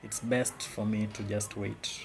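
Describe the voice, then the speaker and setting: A man's voice: on the low side, level tone, measured pace, gravelly, background noise. A man with a Kenyan accent giving casual live commentary on his trades, often repeating words and phrases. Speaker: day trader.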